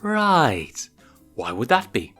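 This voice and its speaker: A man puts on a motherly lioness for a storybook tale, lifting the pitch. She is curious and gently amused, teasing as she questions, over faint music. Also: huge pitch swings, slow, exaggerated voice.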